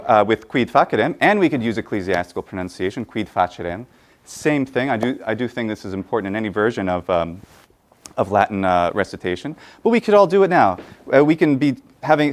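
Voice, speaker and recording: A man lectures to a room at a conference. He thinks aloud as he talks, pausing on fillers and starting phrases over. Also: mid-range voice. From United States. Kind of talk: conference talk.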